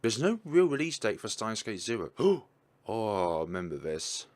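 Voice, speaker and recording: A young man with a low-pitched voice gives casual commentary on a game. He thinks out loud and gets excited as he goes, talking into a desk mic. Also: normal speed. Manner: chatty, thinks aloud, excitable. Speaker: gaming YouTuber. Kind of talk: casual let's-play commentary.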